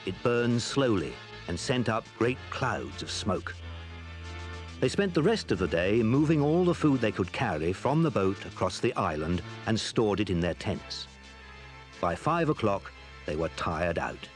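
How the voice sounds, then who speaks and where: A man reading scripted narration for a children's story. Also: fairly low pitch.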